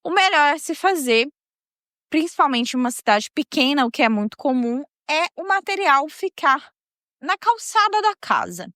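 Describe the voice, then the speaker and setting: A woman with a strong Mineiro accent tells a real-life story on her podcast, chatty and quick to laugh. Her voice sits a little high, and the recording is dry and close. She talks straight to her listeners as if they were friends. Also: sing-song, a bit bright, no room sound. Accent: Mineiro (Minas Gerais). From Minas Gerais, Brazil. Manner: chatty, laughs often, addresses listeners directly. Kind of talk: casual storytelling narration.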